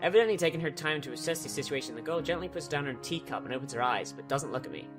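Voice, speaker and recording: A man's voice: fairly high pitch, lively intonation, racing, over music. A man reading a visual novel aloud, cutting in with sarcastic, sweary jokes. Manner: sarcastic, swears freely, breaks off to joke. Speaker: let's player.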